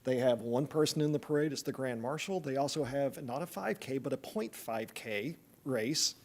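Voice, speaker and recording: A man's voice: medium pitch, moderate pace, darkish tone. A man with an East Tennessee accent, a planning consultant giving a chatty, joking update at a public meeting, with many fillers.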